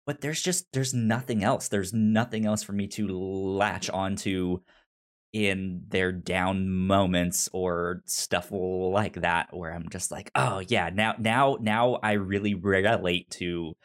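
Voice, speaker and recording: A man's voice: low voice, fairly even intonation, slow. A man co-hosting a podcast, chatting casually about his opinions, with a stutter that repeats the starts of words. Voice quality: stutter, repeating initial sounds. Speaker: podcast co-host. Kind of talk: casual opinionated review chat.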